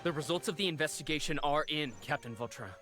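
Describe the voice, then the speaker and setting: A man's voice: a little high, quick pace, bright, forceful tone, soft background music. A man voicing a dutiful guard giving formal, matter-of-fact reports in a video game. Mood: grave, formal.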